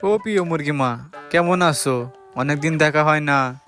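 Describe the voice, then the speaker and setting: A man's voice: fairly high pitch, measured pace, fairly bright tone. A man voicing a sly, scheming cartoon fox who is wheedling and theatrical. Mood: sugary false friendliness. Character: sly, scheming male fox, sweet-talking but cowardly. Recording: music behind the voice.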